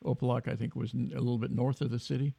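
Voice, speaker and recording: Host: gravelly, warm-toned man, halting delivery, dry close phone sound. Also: mid-range voice, little pitch movement, dry recording. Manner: halting, hesitant, many fillers. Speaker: interviewer. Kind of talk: casual interview.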